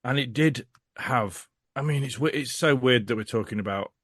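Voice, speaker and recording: A man's voice: medium pitch, normal speed, fairly bright tone, no echo, clean studio sound. A man giving a casual podcast review as a guest, speaking in a rambling, emphatic, frank way.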